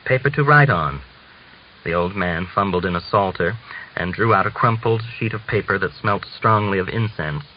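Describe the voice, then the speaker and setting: A man reading a literary novel aloud as scripted narration, in a measured manner. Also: deep voice, fairly even intonation. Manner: measured, acts out dialogue. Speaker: audiobook reader. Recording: muffled lo-fi audio with clear hiss.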